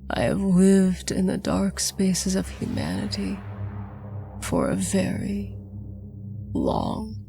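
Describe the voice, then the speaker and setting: Woman whispering as a raspy ancient ghoul. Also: mid-range voice, over music, heavily acted. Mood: calm menace. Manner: slow, calm, breathy whispering. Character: calm ancient male ghoul, menacing flesh-eater.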